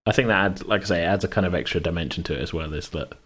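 Male game master, deep voice, informal podcast chat, loose and rambling delivery. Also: rapid-fire, a bit bright, slightly rough. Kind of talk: casual chat about a tabletop game.

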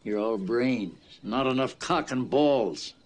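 A man's voice: medium pitch, takes it slowly. An actor in his older years playing a blunt, crude man who teases a younger man on a porch at night in a film comedy.

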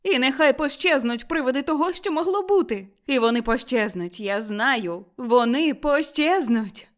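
A woman voicing an elderly male miser, giddily overjoyed and reborn, exclaiming and repeating himself excitedly in a storybook reading. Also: high voice, fairly quick. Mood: frantic relief. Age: older.

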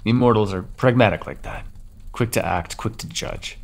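A man voicing a gravelly, brooding ancient vampire. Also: on the low side. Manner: soft-spoken, measured, confiding. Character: brooding ancient male vampire captor, solemn. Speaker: voice actor. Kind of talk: ASMR audio roleplay monologue.

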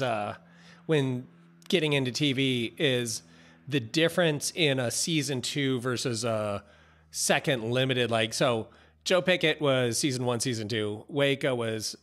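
Mid-pitched man explaining casually. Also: a bit bright. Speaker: podcast host. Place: office room, indoors. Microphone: close podcast microphone on boom arm.

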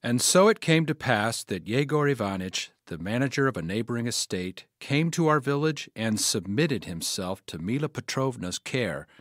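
Male narrator for audio drama, played by an actor: wry village storyteller, animated pitch, dry studio recording. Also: moderate pace, hardly any pauses, no room sound, natural voice. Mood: wry, storytelling.

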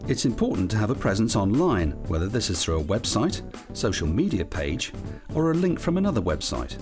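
A man with a low voice reads scripted training-film narration. His pitch moves in a lively way, and music plays clearly behind him. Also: brisk. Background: background music.

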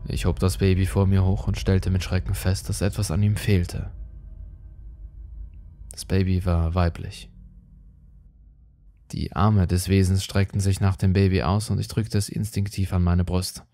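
A man reading a scripted horror story as a narrator, with measured, suspenseful, dramatic delivery. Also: deep voice, little pitch movement. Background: dark music.

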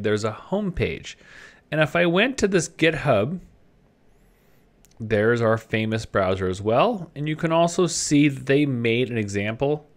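A man with a mid-pitched voice walks through a software tutorial. His intonation rises and falls in a lively way. He talks informally and conversationally, thinking aloud as he demonstrates each step. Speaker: presenter of a web development tutorial, designer. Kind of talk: informal screen-share tutorial narration.

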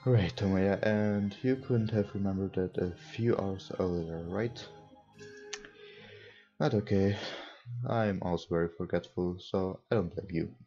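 A man's voice: low voice, measured pace, warm tone. A young man, not a native English speaker, reading a visual novel aloud with casual, hesitant comments as a gaming host. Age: young adult. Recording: dull lo-fi mic, music behind. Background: game music, mouse clicks.

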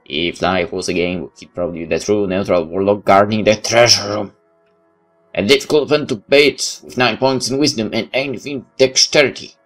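Very low-voiced man reading aloud. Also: normal speed, fairly bright tone. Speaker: let's player. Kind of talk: reading game narration aloud with commentary. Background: game music.

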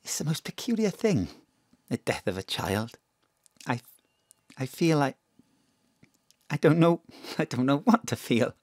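A woman's voice: low voice, very animated, a little slow, pauses often, darkish tone, dry recording, studio quality, full character voice. A woman playing a polite, hesitant, old-fashioned mother in her thirties, dignified in grief, in an audio drama. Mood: grieving. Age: 30s.

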